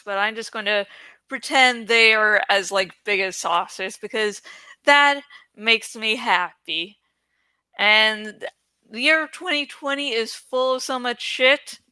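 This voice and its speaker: A woman's voice: fairly high pitch, sharp tone, clear, resonant tone, dry recording. A woman host chatting in a rambling, informal, giggly way about a book, swearing freely. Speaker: host of a book read-along channel.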